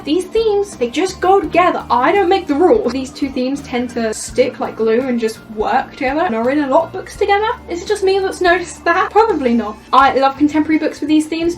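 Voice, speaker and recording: A young woman chatting casually to camera as a book vlogger, enthusiastic and talking in quick bursts. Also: high voice. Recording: voice a bit distant from the mic.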